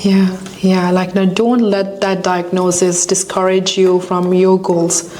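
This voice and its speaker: A woman's voice: lowish voice, flat delivery, takes it slowly, mellow, slight background noise. A woman from Sri Lanka hosting a talk show interview, asking encouraging questions with frequent fillers and restarts. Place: Canada.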